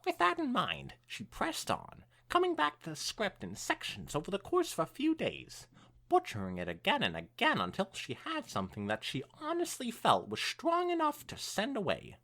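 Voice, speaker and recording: A woman co-hosting a podcast, reading fan fiction aloud in an expressive, swooping storytelling style with casual asides. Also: medium pitch, normal speed.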